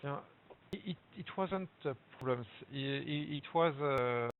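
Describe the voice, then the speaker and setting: A man with a strong French accent presents over a webinar line, hesitant and full of fillers as he searches for words in English. His voice has a gravelly edge. The audio is thin and phone-like, with a faint hiss behind it. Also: mid-range voice, measured pace. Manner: hesitant, many fillers and restarts. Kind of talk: halting presentation in a second language. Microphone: web conference audio. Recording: tinny phone-like web call audio with hiss.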